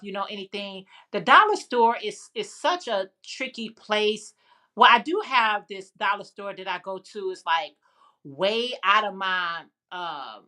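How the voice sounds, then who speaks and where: A woman's voice: medium pitch, pushed and bright. A woman with a Chicago accent hosting a casual live chat, rambling and answering viewer comments. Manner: chatty, rambling, frequent fillers and restarts. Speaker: livestream host.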